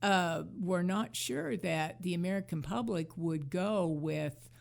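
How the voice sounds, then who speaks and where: Woman with a creaky, lowish voice. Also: takes it slowly. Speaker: podcast co-host. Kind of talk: casual chat, nostalgic TV commentary.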